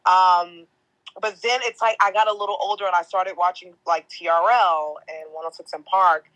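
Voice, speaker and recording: A woman's voice: steady tone, moderate pace, a bit bright, no echo, poor audio. Young woman, chatty, laughs a lot, slangy storytelling, close dry mic. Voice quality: deeper natural voice. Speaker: podcast guest, entertainment host. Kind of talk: casual interview chat.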